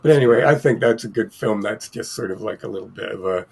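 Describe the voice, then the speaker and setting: A man co-hosting a casual film podcast, sounding croaky from a cold and rambling with many fillers and restarts. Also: lowish voice, fast, darkish tone. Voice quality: gravelly, husky. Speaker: podcast co-host.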